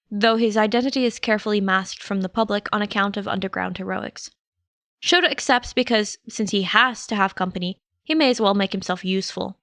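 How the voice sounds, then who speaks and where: A woman's voice: medium pitch, gravelly, fairly bright tone, no echo. Woman narrating fiction, dry close sound. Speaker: podfic narrator. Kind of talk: scripted narration, reading fiction aloud.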